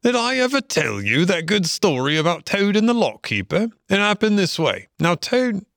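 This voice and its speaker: A man's voice: sits high, big pitch swings, moderate pace, vocal fry, a bit bright, no room sound, clean studio sound, pitched above the performer's own voice, full character voice. A man voicing a blunt, sociable, gossipy otter in an animal storybook, with a breezy, chattering manner. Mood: amused.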